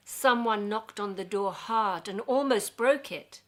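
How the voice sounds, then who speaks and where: A woman narrating a scripted radio play in a measured, serious way. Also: medium pitch, heavy background noise. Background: music.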